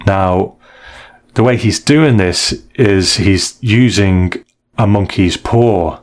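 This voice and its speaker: Low-voiced man, thoughtful podcast co-host. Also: slow. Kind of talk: casual discussion and story review.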